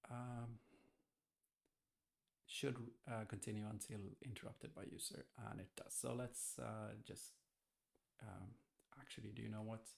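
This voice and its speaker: A man thinking aloud hesitantly as he gives a casual software testing walkthrough. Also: fairly low pitch, steady tone, measured pace, vocal fry, pauses often. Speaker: software tester demonstrating. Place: indoors, screen-recorded voice-over.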